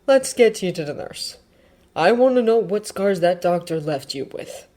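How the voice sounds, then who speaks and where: A woman's voice: on the low side, animated, moderate pace, mellow, natural voice. A woman voicing a stern, protective teenage boy in an audiobook, terse and controlled. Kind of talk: audiobook character voice.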